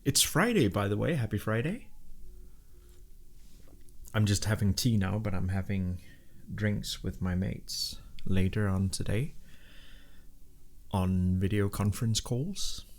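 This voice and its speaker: A man chats loosely on his live stream. His voice sits low with a gravelly rasp. He wanders through thoughts with lots of ums and restarts, reading viewers' messages aloud. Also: expressive, takes it slowly, pauses often. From Denmark. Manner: rambling, with many fillers and restarts. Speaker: live-stream programming host.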